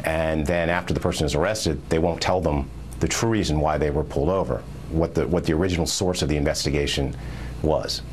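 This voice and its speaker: A man's voice: very deep voice, a little fast, a little hiss or hum. An American man speaking as a correspondent in a news interview, explaining things methodically, step by step.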